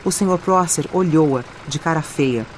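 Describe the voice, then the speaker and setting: A woman's voice: sits low, normal speed, slight background noise. A woman with a Brazilian accent reading scripted audiobook narration. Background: music and added sound effects.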